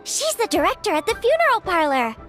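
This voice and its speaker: A woman voicing a small, excitable childlike fairy companion in a video game, squeaky, curious, chatty and full of exclamations. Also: brisk. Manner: exclamatory, expressive. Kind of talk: animated video game voice acting. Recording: music behind the voice.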